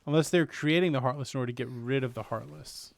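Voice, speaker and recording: A man's voice: mid-range voice, quick pace, vocal fry. A man giving casual live commentary on a game as a streamer, musing and joking as he goes. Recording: game music faint behind voice.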